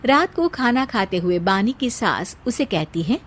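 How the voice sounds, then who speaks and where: A woman's voice: fairly high pitch, very animated, measured pace. A woman giving scripted narration for a family cartoon story.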